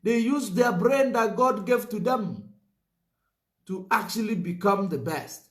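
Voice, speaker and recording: A man's voice: high-pitched, lively intonation, measured pace, fairly bright tone, echoey room. A man giving a political commentary monologue, emphatic, repeating his points and filling gaps with "you know".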